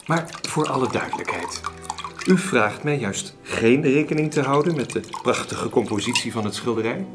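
An actor playing a polite, wary master painter in a period radio drama, measured and questioning. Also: male voice, mid-range voice, animated, over music, lightly acted. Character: courteous, wary master painter of past centuries.

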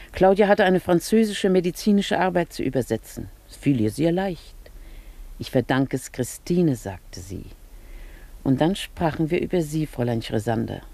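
A woman's voice: low-pitched, mellow, no room sound. An actress playing a genteel upper-class married lady in a German radio drama, composed and formal in manner, holding on to an elegant past. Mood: kindly, reminiscing.

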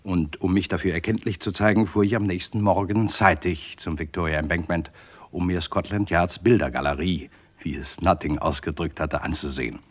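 Gentleman narrator, very low voice, formal, precise, deliberate, radio crime story. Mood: dry, recounting. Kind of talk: scripted first-person narration, radio crime play.

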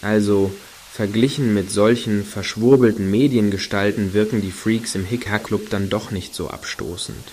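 A male actor plays a wry, rambling narrator in a satirical radio play. His fairly low voice recounts things conversationally and hesitantly. A faint hiss sits behind it. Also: fairly even intonation, normal speed, hardly any pauses, a little mellow, close to an everyday voice. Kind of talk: conversational first-person monologue.

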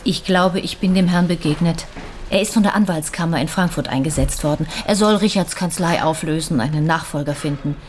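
An actress playing a composed, courteous and probing widow in a radio crime drama, speaking naturally with slight hesitations. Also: female voice, low voice, a little fast, slight background noise, natural voice. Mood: probing, concerned. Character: composed, polite, probing widow, guarded and well-mannered.

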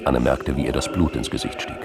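A man with a gravelly voice narrating a scripted crime drama. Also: a bass voice, fairly quick, over loud music.

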